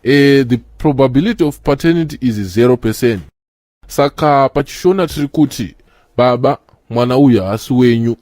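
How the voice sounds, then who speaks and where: A man's voice: mid-range voice, normal speed, a little mellow, no room sound, clean studio sound, natural voice. A man with a Zimbabwean accent playing a polite, hesitant clinic official who mediates in a comedy cartoon. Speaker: presenter of test results. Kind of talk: comedy cartoon acting, formal interview manner.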